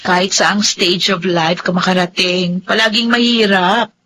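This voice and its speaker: An actress with a Filipino accent playing a struggling, persevering student and dutiful daughter in an audio drama. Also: female voice, on the low side, steady tone, measured pace, bright, forceful tone, a little hiss or hum. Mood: teasing, upbeat. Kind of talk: Filipino radio-drama style acting.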